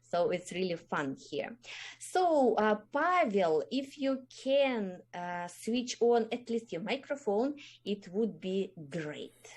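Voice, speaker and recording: A woman speaks as the host of an online meeting, courteous and a little hesitant. She fills her pauses with small sounds. The voice comes through a video call. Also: medium pitch, lively intonation, a little mellow. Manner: polite, hesitant with fillers. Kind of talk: casual chat.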